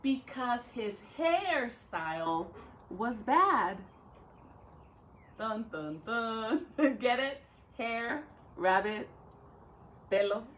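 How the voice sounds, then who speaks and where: A woman talking and reading aloud to young pupils as a school educator, with expressive, drawn-out phrasing. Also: on the high side, a little hiss or hum. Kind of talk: read-aloud for children with chatty intro.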